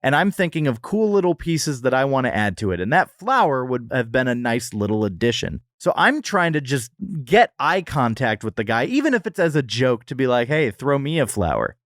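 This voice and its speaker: A man's voice: medium pitch, sing-song, brisk, few pauses, a bit bright, no echo. Male podcast co-host for comic banter; jokey exaggerating storyteller, close dry recording. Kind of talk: casual comedic podcast banter.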